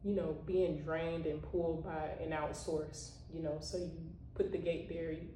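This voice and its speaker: A woman's voice: sits low, flat delivery, moderate pace, warm tone, slight background noise. A woman giving a casual motivational talk to her viewers, full of "you know" and "like.". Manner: conversational, heavy use of "you know" and "like". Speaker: vlogger. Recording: roomy echo, voice a bit far from the mic.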